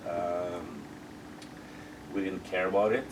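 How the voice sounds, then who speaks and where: A very low-voiced man hosts a relaxed chat at an outdoor disc golf course, pausing often with ums and uhs. The mic sits some way off, so the voice sounds distant. Steady outdoor noise runs behind him. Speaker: interviewer and host. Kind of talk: casual outdoor interview.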